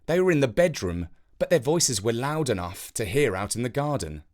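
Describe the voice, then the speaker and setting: A children's story narrator. The voice sits at a medium pitch but rises and falls dramatically. The phrasing is expressive and deliberate.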